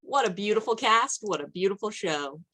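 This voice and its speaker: A woman's voice: mid-range voice, lively intonation, normal speed, bright, forceful tone. A woman hosting an online event in an effusive, upbeat way. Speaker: event host. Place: online video call.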